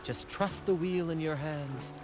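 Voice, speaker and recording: A man's voice: on the high side, a little slow, lightly acted. An actor playing a confident young seafaring showman captain in a video game, with a theatrical, playfully poetic manner. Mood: calm, assured. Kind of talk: video game character dialogue. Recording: loud music behind the voice.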